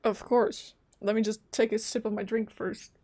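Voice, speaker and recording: A woman's voice: fairly high pitch, little pitch movement, normal speed, dark tone. A woman game streamer giving casual live reactions, emotive and sympathetic.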